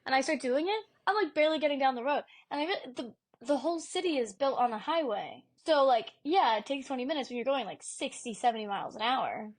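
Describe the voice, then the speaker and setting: A young woman co-host in an easygoing chat, her pitch a little above average. She rambles, packs in fillers, and gets animated as she explains things. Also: fast talker, few pauses. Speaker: podcast co-host. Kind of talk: casual chat.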